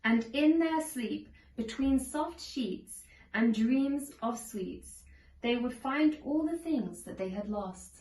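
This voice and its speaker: A woman narrating a children's storybook aloud in a measured, deliberate storytelling manner. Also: on the high side, expressive, a little mellow. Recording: echoey room, distant from microphone.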